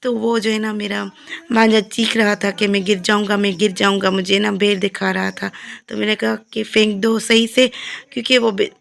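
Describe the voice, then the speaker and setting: A woman with a Pakistani accent and a hoarse, heavy voice, narrating her village vlog in a chatty, repetitive way. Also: medium pitch, flat delivery, normal speed. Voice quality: heavy, croaky voice. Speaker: family vlogger. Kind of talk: casual vlog voice-over.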